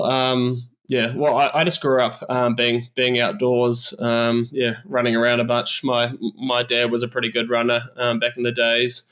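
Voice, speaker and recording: A man's voice: medium pitch, a bit bright. A man in his thirties with a New Zealand accent, answering interview questions in an easygoing, hesitant way full of restarts. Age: 30s. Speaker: guest athlete.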